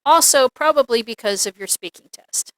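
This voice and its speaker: A woman teaching an English lesson, reading examples aloud in a deliberate, clearly enunciated, instructional way. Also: on the high side, lively intonation, no room sound, rough recording.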